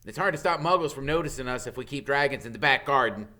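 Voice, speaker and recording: A man voicing an eager, know-it-all young schoolboy in a storybook, excitable and insistent. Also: medium pitch, fast, voice pushed up.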